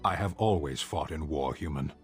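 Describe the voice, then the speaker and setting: A man playing a stoic, blunt giant warrior in a video game, gruff and deadpan, speaking in curt, formal sentences. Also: a bass voice, moderate pace, faint music behind. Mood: solemn. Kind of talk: video game dialogue acting.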